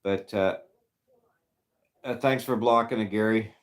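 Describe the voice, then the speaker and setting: Slow, halting man in his fifties. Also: fairly low pitch, fairly even intonation. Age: 50s. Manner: rambling, halting, many fillers. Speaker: livestream host reading chat. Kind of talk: casual livestream chat.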